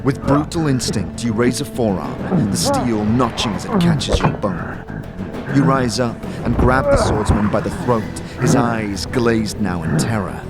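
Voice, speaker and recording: A man narrating a fantasy audio drama in a measured, literary, scripted style, as dramatic storytelling. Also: medium pitch, expressive, creaky. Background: loud music.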